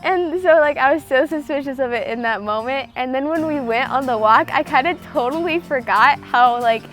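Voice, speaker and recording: Young woman, high-pitched, chatty and gushing personal storyteller, giggly, music clearly underneath. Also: moderate pace, a bit bright. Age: young adult. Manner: giggly, gushing, liberal use of "like". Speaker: bride-to-be telling her love story. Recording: music behind the voice.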